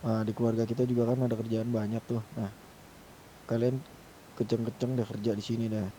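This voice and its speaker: A man's voice: medium pitch, level tone, brisk, dark tone, slight background noise, low-quality recording. A man talking casually in a group discussion during an online game. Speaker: roleplay gang member helping lead a meeting.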